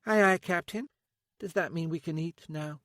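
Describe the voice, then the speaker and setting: A man's voice: fairly high pitch, moderate pace, a bit bright, dry recording, studio quality, pitched above the performer's own voice, close to an everyday voice. Man doing a sardonic female detective. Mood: wry. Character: dry-humoured female detective sergeant.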